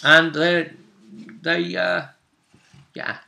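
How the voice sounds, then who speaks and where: A man with a bright, forward voice acts as game master at a tabletop session. He narrates the story casually, pausing to find words and repeating phrases for emphasis. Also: fairly high pitch, level tone, gravelly.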